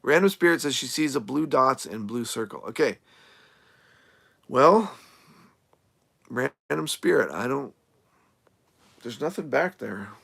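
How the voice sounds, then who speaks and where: A man who is a nomad RV creator, chatting casually on a live stream as he answers viewer comments, rambling with lots of fillers and restarts, his voice going a little hoarse. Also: medium pitch, animated, a little slow, pauses often, bright, forceful tone. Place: RV camp in the desert, outdoors.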